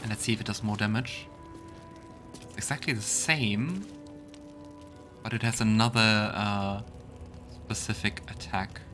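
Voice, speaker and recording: A man with a fairly low voice hosts a let's play in a casual way. He thinks aloud and reads on-screen text, with clear game music playing behind him. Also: steady tone, a little slow, pauses often. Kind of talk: casual gameplay commentary. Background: video game music.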